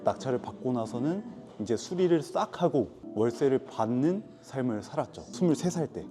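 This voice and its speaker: A man's voice: medium pitch, animated, normal speed, dark tone. A man in his twenties, a business owner, telling his story in a plain, matter-of-fact way during a casual interview. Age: 20s. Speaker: guest, lodging business owner. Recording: music bed under the talk.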